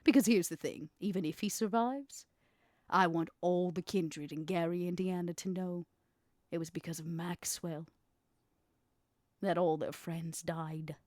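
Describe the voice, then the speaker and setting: A woman playing an imperious, ruthless female vampire schemer in a tabletop roleplay, speaking deliberately with dramatic pauses. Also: on the low side, a little mellow, no room sound, clean studio sound, lightly acted. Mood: contemptuous, vengeful. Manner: deliberate, dramatic pauses, stressed key words. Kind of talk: in-character tabletop roleplay acting.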